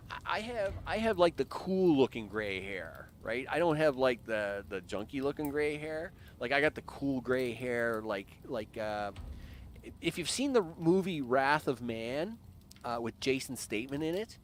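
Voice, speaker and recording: A man's voice: mid-range voice, slight background noise. A man in his early fifties, rambling casually with lots of fillers while commenting on his own game during a live stream. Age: 50s. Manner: rambling, chatty, talks to himself and chat with lots of fillers.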